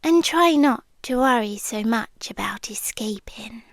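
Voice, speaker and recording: A British woman playing a possessive, tender demi-human yandere girlfriend in an ASMR roleplay, softly and breathily, often dropping to a whisper. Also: fairly high pitch, expressive, vocal fry, no echo. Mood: hushed, possessive. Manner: slow, deliberate, pausing between short phrases. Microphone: close, dry microphone.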